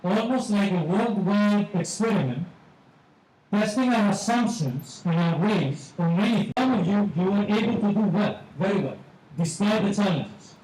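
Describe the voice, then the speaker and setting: A man with a Greek accent giving a measured graduation speech as a teacher, repeating phrases for emphasis. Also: high-pitched, animated, a little mellow. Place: large hall at a ceremony. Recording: echoey hall, distant mic, faint noise.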